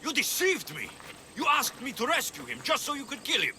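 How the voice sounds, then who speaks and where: An actor playing a tough but kind-hearted young Greek mercenary in a video game. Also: male voice, extremely high pitch, huge pitch swings, fairly quick, background noise, heavily acted. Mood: angry, betrayed.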